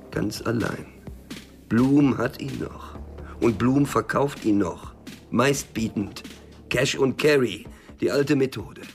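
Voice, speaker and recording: An actor playing a stubborn, wary small-time drug dealer and loner in a radio crime drama, curt, dry and cynical. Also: male voice, on the low side, gravelly. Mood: bitter, mocking.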